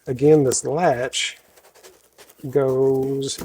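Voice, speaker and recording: A man with a country American accent and a slight head cold, talking himself through a workshop project in a loose, thinking-aloud way. His natural voice is very deep, and he often corrects himself mid-sentence. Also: little pitch movement, drawn-out words, a little mellow, slight background noise. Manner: talks to himself while working, self-correcting. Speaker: host showing a woodworking project.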